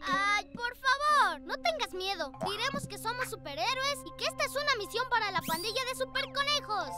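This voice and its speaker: A woman's voice: very high voice, lively intonation, normal speed. An actress voicing a confident little boy bunny who plays superhero, cheerful and encouraging, in a Spanish cartoon dub. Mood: playful. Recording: cartoon soundtrack with music.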